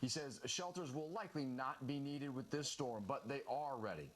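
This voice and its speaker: A man's voice: medium pitch, moderate pace. A man anchoring a live television newscast, reading the news.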